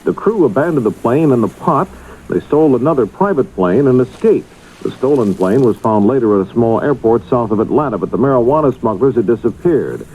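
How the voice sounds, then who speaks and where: Man, radio news reading, relaxed dark tone, faint noise, muffled 1970s broadcast. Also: medium pitch.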